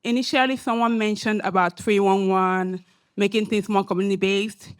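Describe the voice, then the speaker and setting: A woman's voice: medium pitch, normal speed, clear, resonant tone. Emphatic woman with an African accent on a panel. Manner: animated, emphatic, asks rhetorical "right?". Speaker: panelist, academic and social entrepreneur. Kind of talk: panel discussion remarks. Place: symposium panel room.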